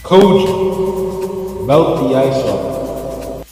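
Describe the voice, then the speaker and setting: An actor playing a commanding, vengeful wind god in an audio drama, urgent and imposing. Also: male voice, medium pitch, very animated, very slow, recorded from a distance, exaggerated voice. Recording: cavernous reverb with music behind.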